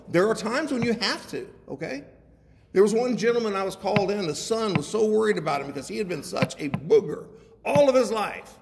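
A man preaching a sermon as a guest pastor, reading scripture and explaining it in a conversational, folksy manner with frequent check-ins to his listeners. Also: high voice. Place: large echoey room, preaching to a congregation. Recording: echoey hall with faint background noise.

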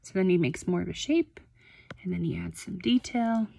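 A woman's voice: lowish voice, normal speed, creaky, warm tone, slightly rough. A woman chatting casually as she helps a child through a picture book, encouraging and prompting with questions and repeats. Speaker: adult helping a child read a picture book. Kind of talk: casual read-along chat with a child. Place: carpeted room at home.

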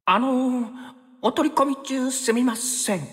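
A man's voice: extremely high pitch, unhurried, a bit bright. An actor playing an easygoing young male time traveler in an anime game, stammering and flustered, with casual yet nervously polite speech. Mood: hesitant, polite. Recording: long reverb over background music.

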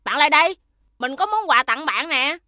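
A woman's voice: high-pitched, expressive, normal speed, pushed and bright. A woman with a southern Vietnamese accent voicing a mischievous, defensive little schoolboy in a children's cartoon. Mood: playful.

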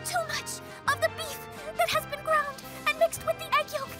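Very high, sweet alien girl. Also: flat delivery, moderate pace. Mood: earnest. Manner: stilted, formal odd grammar, gushing. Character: sweet, innocent alien princess superheroine. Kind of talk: cartoon voice acting. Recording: loud music behind.

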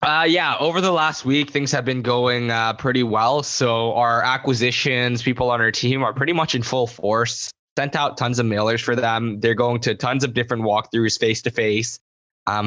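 A man's voice: mid-range voice, moderate pace, no echo, lo-fi sound. A young man co-hosting a real estate podcast in casual chat, rambling with fillers on a close studio mic. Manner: relaxed, rambling with fillers and swearing. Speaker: podcast co-host. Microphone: new studio mic, close.

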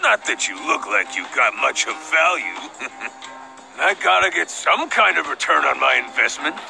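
An actor voicing a gruff, greedy market butcher in a video game, with a gravelly voice and a condescending, haggling manner. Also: male voice, a little high, very animated, normal speed, bright, forceful tone, soft background music, full character voice. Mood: gruff, scornful. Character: gruff, greedy male pork butcher running a market stall. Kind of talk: animated video game acting.

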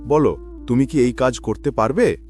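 A man's voice: medium pitch, animated, moderate pace, a little mellow, close to an everyday voice. A man voicing a wealthy village landowner in a moral-story cartoon, with a patronising, authoritative manner. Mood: businesslike. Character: wealthy village landowner employing a poor labourer. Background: background music.

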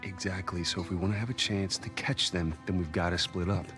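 Male actor as impulsive older brother, low-ish voice, brash and pushy, game cutscene with faint score. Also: steady tone, a little fast, close to an everyday voice. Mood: eager. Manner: brash, urgent, sardonic. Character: wry older brother treasure hunter, impulsive. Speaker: adventurer. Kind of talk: video game cutscene acting. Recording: game audio with music behind.

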